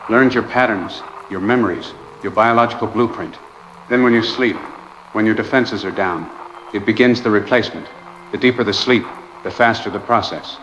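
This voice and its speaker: An actor playing an exhausted, haunted research doctor, grimly confessing in clipped sentences into a personal log for an audio drama. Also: male voice, fairly low pitch, fairly bright tone, natural voice. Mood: exhausted, paranoid. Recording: dull, clearly noisy log recording.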